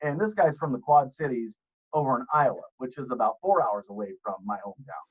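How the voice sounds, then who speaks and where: Animated jokey man, lo-fi audio. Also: brisk, husky. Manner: animated, jokey, swears, squeaks up when excited. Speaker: podcast guest. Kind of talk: casual storytelling.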